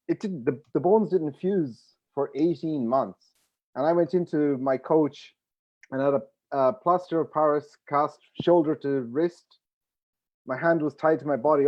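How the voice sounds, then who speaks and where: A former sumo wrestler telling stories on a panel, emphatic and animated. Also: male voice, on the high side, lo-fi sound. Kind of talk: panel discussion.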